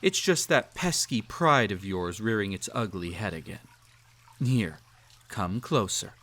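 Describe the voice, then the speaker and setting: Cruel male emperor, acted by a man, lowish voice, pompous and teasing, speaking intimately to the listener in audio drama. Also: lively intonation, measured pace, a bit bright. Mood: soothing, possessive. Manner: pompous, formal, teasing, patronizing. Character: cruel, possessive male emperor, silky and condescending.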